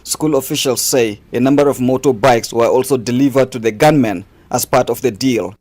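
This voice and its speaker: A male reporter at a middle pitch files a scripted news report. His reading is steady and formal, with even pacing throughout. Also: normal speed.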